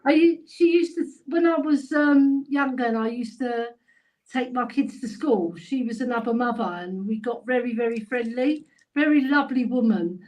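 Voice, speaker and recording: High-voiced middle-aged London woman. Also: moderate pace, darkish tone, rough recording. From London, England. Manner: chatty, anecdotal. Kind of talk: casual chat.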